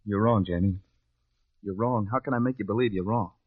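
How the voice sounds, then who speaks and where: An actor playing an earnest, insistent newspaper reporter in a radio drama, urgent and coaxing. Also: male voice, fairly low pitch, a little fast, no room sound, lightly acted. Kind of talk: 1950s radio drama acting. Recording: dull lo-fi old radio recording.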